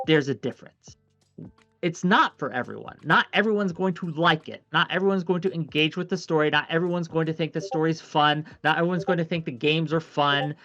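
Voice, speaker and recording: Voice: mid-range voice, a bit bright, no room sound. A host moderating an online panel, speaking emphatically and repeating points for effect. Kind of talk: casual online discussion. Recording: dry lo-fi mic with faint music.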